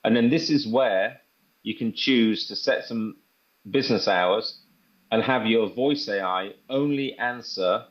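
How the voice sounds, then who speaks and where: A man presenting a software demo in an informal, step-by-step tutorial style. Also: lowish voice, measured pace, highs cut off. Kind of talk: casual screen-share tutorial walkthrough.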